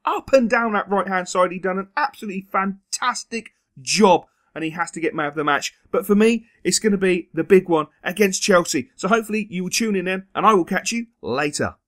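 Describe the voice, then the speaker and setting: A man's voice: sits high, a little fast, hardly any pauses, a bit bright. A young man commenting on his own football video game career, emphatic and prone to repeating words for stress. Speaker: gaming video host. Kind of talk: gameplay commentary.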